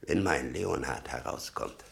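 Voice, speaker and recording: An actor playing a bitter, doting old father in a 1960 German radio play, halting and brooding, muttering with sudden emphasis. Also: rumbling low, vocal fry, a bit bright, exaggerated voice. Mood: obsessive, hopeful. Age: older.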